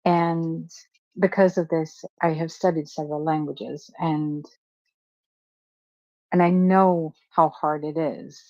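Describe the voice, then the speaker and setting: A woman's voice: low voice, fairly even intonation, slow pace, long pauses, darkish tone, no room sound, muffled recording. A woman from Sweden, a native Swedish speaker, co-hosting a casual chat. She gushes and chats freely, with hesitant fillers. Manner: gushing, chatty, with hesitant fillers. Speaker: co-host.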